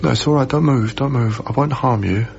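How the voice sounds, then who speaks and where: An actor playing a troubled, haunted young lodger in a radio drama, speaking in a hesitant, tender way over music in a slightly muffled broadcast. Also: male voice, mid-range voice, vocal fry, a little mellow, close to an everyday voice. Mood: tender, yearning. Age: young adult. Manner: hesitant, brooding, halting. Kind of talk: radio drama acting, intimate monologue.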